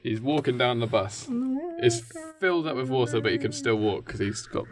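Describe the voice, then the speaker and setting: A man co-hosting a podcast, telling a story in a casual, jokey, halting way. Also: mid-range voice, expressive, a crowd in the background. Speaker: podcast co-host. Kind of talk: casual comedic storytelling chat.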